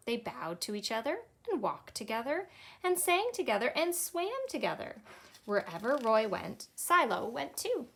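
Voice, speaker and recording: A woman in her thirties with a Canadian accent, a children's librarian reading a picture book aloud in a cheerful, expressive storytime manner. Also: on the high side, gravelly. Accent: Canadian English. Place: small indoor library room.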